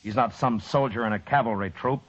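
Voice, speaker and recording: An actor playing a steady, stern U.S. marshal with dry humor and a laconic, firm manner in an old time radio western. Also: male voice, medium pitch, brisk, close to an everyday voice. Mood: stern, commanding. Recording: muffled, faintly noisy old radio recording.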